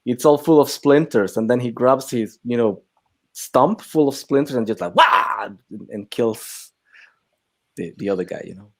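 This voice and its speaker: A man's voice: medium pitch, animated, normal speed. Rambling man chatting casually. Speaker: co-host of a film chat livestream. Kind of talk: casual chat.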